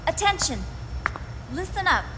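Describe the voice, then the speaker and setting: High-voiced, commanding military officer. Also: female voice, very animated, heavily acted. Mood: solemn, commanding. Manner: formal, measured, commanding. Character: commanding, solemn female military officer addressing troops. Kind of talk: video game cutscene acting. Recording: clear ambient noise behind the voice.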